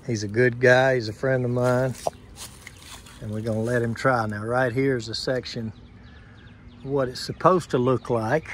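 A man of middle pitch talks slowly and plainly as an HVAC technician, pointing things out step by step. He is outdoors beside air conditioner units, recorded on a handheld phone camera. Faint outdoor noise sits behind his voice. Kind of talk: casual on-site explanation to camera.

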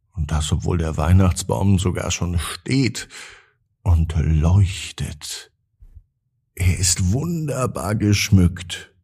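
A man narrating a scripted bedtime story for children in a gentle, drawn-out, expressive way. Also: sits low, husky.

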